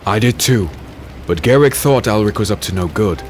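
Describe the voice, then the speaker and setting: A man playing a grave, protective swordsman husband in a dark fantasy audio drama, speaking earnestly with dramatic pauses. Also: fairly low pitch, expressive, faint music behind, close to an everyday voice. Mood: grave, reluctant.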